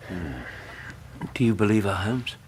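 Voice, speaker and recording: A man's voice: fairly low pitch, measured pace, slight background noise, natural voice. A man acting in a British radio drama as a kindly, practical doctor and detective's companion, plain-spoken and reassuring. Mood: puzzled.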